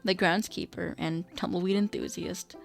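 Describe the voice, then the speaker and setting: A woman narrator tells a scripted radio story. Her voice sits a little low and has noticeable creak, with soft music underneath. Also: fairly even intonation, moderate pace.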